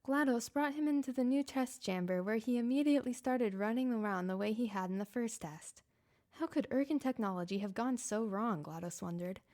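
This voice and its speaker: A woman reading a fan-written story aloud in a steady, plain narrating voice. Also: on the high side, a little fast. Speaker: fanfiction reader.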